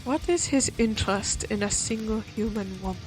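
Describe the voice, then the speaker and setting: A woman's voice: medium pitch, normal speed, soft background music, natural voice, rough recording. A woman voicing a composed, formal non-human observer in an audio roleplay, analytical and detached yet curious. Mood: detached, intrigued.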